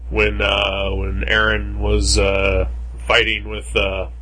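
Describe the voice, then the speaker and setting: A man co-hosting a casual podcast chat, talking haltingly with many filler pauses and dry jokes. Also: fairly low pitch, monotone, pushed and bright, poor audio. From half Puerto Rican family. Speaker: podcast co-host.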